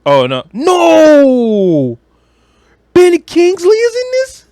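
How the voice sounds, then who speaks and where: A man co-hosting a podcast, chatting casually about movies and games in an animated, exclamatory, slangy way. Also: extremely high pitch, very slow, no room sound. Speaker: podcast co-host.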